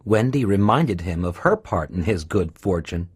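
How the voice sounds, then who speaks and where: A man's voice: sits low, expressive, moderate pace, a little hiss or hum. A man narrating a scripted children's storybook tale. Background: music.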